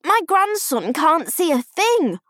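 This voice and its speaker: A woman's voice: extremely high pitch, sing-song, slow pace, pushed and bright, no echo, studio quality, pitched above the performer's own voice, full character voice. A woman voicing a grumpy, disapproving grandfather in an audiobook, complaining in a stern, snooty manner. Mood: annoyed. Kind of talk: audiobook character voice.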